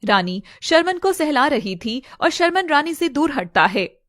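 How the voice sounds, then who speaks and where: A woman's voice: high-pitched, very animated, unhurried, fairly bright tone, no echo, studio quality. A woman working as a story narrator, reading scripted narration in a dramatic style.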